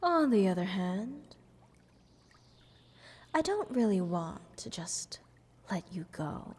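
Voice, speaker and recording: A woman voices a possessive goddess of stars in a fantasy audio drama. She speaks very slowly and teasingly, with a breathy softness that slips into whispers. Her condescending words ring out in a long, hall-like reverb. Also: mid-range voice, sing-song, heavily acted. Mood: scheming, amused. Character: playful, selfish, possessive goddess of stars toying with mortals. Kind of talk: scripted fantasy audio roleplay addressed to the listener.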